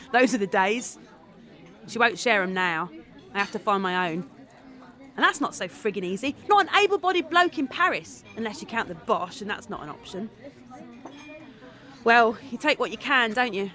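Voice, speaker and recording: An actress playing a brash, streetwise, envious Parisian woman in an audio drama, with coarse, slangy, excitable speech. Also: mid-range voice, close to an everyday voice.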